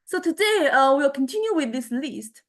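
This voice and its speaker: A woman giving an informal lecture in a hesitant, halting way, often repeating and restarting her words. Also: high-pitched, lively intonation.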